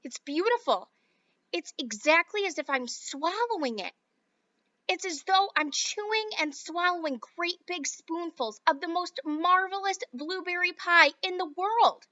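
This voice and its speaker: A woman's voice: very high-pitched, lively intonation, a little slow, pushed and bright, no room sound, lo-fi sound, voice pushed up, heavily acted. A woman doing a bratty gum-chewing girl. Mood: petulant. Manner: snappish, chewing.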